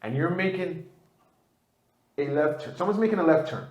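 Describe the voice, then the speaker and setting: A man's voice: fairly high pitch, lively intonation, moderate pace, a little mellow. A man co-hosting a casual podcast, ranting animatedly about everyday annoyances and repeating himself. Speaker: podcast co-host. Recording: echoey room sound.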